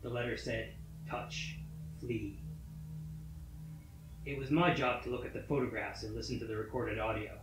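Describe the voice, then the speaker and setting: A man reading a scripted horror story in a measured, matter-of-fact manner. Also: mid-range voice, fairly even intonation. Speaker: story reader. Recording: roomy echo. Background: faint music.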